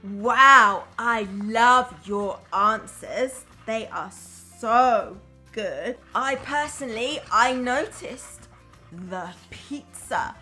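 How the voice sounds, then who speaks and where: A woman primary school teacher reading a story aloud to young children in an enthusiastic, expressive way, chatting to them between lines. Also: a little high, very slow, faint music behind. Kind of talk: children's storytime read-aloud with asides to the audience.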